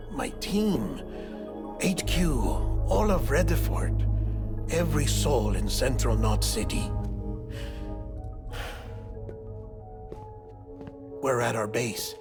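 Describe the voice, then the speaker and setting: An actor playing an eccentric, chatty middle-aged coroner in a video game cutscene, with theatrical, halting phrasing. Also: male voice, mid-range voice, creaky. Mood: grim. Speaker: doctor and former coroner in a game story.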